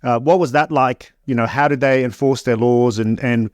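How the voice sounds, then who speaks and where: A man hosting an interview podcast, reading an introduction and then asking questions in a measured way, often restarting sentences. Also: medium pitch, steady tone, no echo. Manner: measured, stumbling restarts when unscripted. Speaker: podcast host.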